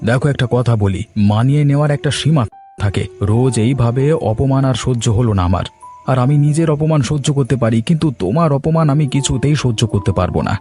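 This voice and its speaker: Man acting a mellow, fast-talking proud husband. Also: mid-range voice, expressive, faint music behind, close to an everyday voice. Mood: wounded, proud. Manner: earnest, resolute, reassuring. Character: proud, hopeful poor husband planning a new business. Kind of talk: cartoon dialogue acting.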